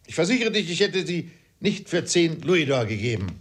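An irascible gentleman of middle age, acted out by a male reader. He blusters and sputters with indignation, his husky voice pushed up high, in the theatrical manner of a storybook character. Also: sing-song, normal speed. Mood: indignant.

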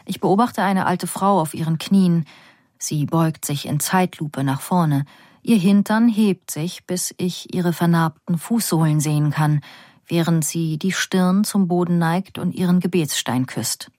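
A woman with a rather low voice reads a first-person story in a calm, measured and vivid way. The recording is dry and close, with no room sound. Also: no room sound. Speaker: audiobook narrator. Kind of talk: first-person audiobook narration.

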